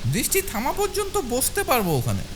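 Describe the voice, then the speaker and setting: A man playing an impulsive young friend in an animated horror story. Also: very high-pitched, brisk, background noise, exaggerated voice. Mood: urgent.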